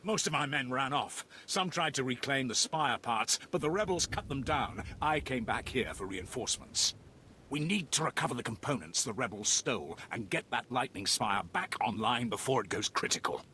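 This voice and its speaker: An actor playing an urgent Imperial sergeant in a video game, briefing in a forceful, commanding way. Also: male voice, medium pitch, animated, normal speed, a little hiss or hum. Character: urgent Imperial military sergeant rallying against rebels. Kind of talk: video game quest dialogue.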